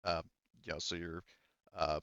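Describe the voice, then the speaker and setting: Male tabletop game master, low-pitched, clean smooth tone, informal hesitant delivery, muffled dry sound. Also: level tone, unhurried, fairly bright tone, no room sound. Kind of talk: hosting a tabletop roleplaying game session.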